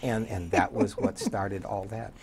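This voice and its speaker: A man's voice: fairly low pitch, unhurried, crowd noise. A man in his sixties chatting as an interview guest, telling stories in a rambling, conversational way. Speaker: radio program director, guest. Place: small TV studio, indoors.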